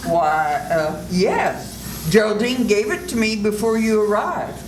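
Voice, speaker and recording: An older woman playing a calm doctor, echoey room. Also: mid-range voice, unhurried, recorded from a distance, slight background noise, close to an everyday voice. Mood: measured. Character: cool, composed woman doctor under suspicion. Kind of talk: amateur old-time radio melodrama.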